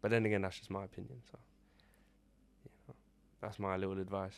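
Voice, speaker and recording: A man's voice: low-pitched, flat delivery, a little fast, gravelly, clean tone. A man in his twenties, co-hosting a podcast, giving earnest reflective advice in casual chat. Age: 20s. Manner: reflective, earnest, fillers like "you know". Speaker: podcast co-host.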